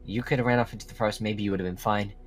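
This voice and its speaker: An earnest young werewolf student, played by a man, talks in a lowish voice with a casual, slightly hesitant delivery. He carries some guilt, in a Minecraft roleplay drama with music behind him. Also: steady tone, racing, close to an everyday voice. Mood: uneasy, regretful.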